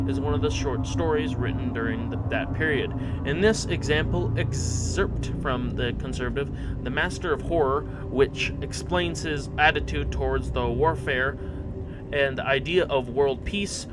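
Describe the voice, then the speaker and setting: A man live-streaming a game, casually commenting and reading on-screen text aloud. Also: mid-range voice. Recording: loud noisy background.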